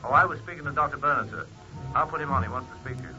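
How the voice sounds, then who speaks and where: An actor playing a deferential police sergeant giving a methodical, respectful report in an old radio drama. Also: male voice, medium pitch, rapid-fire, bright, forceful tone. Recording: muffled old radio recording, music behind.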